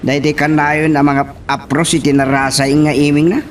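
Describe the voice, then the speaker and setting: A man's voice: little pitch movement, normal speed, natural voice. A man with an Ilocano accent playing a haughty, short-tempered young hacienda heir in a radio drama, scornful and demanding. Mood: insistent. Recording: noisy, rumbling radio drama recording.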